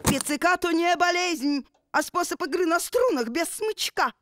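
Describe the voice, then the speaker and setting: A woman's voice: very high-pitched, expressive, normal speed, a bit bright, no room sound. A woman voicing a sulky, delicate cello wife in a cartoon, melodramatic and plaintive. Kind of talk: animated cartoon voice acting.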